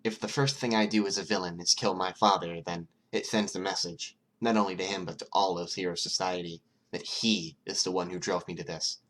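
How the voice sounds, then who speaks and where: A man voicing an earnest, awkward but determined teenage boy in a read-aloud story, halting when embarrassed. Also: sits low, steady tone, fairly bright tone, poor audio. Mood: resolved.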